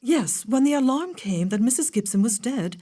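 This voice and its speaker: An earnest, proper young governess under accusation in an audio drama. Her voice is mellow and husky, halting and emphatic, with melodramatic swings in pitch. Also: lively intonation. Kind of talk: melodramatic audio theatre acting.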